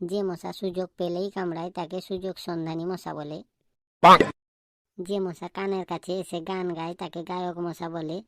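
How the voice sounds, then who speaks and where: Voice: mid-range voice, a little mellow, dry recording, studio quality, natural voice. A performer voicing a cheeky schoolboy in a comedy cartoon, earnestly reeling off wordy, silly answers.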